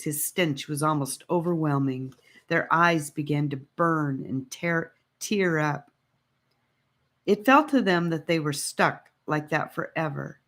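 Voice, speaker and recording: A woman reading an adventure audiobook as a dramatic storybook narrator. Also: low voice.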